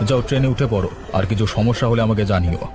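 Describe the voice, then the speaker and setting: A man's voice: fairly low pitch, fast, noisy background, close to an everyday voice. A man voicing a polite, encouraging company boss in a cartoon story, with a Bengali accent. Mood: benevolent. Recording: music behind the voice.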